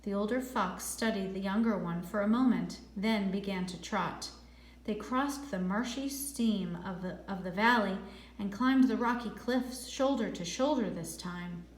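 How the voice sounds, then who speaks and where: A woman reading a storybook aloud like a teacher, expressive and deliberate, stretching words for drama and adding brief explanatory asides. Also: mid-range voice. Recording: echoey, roomy sound.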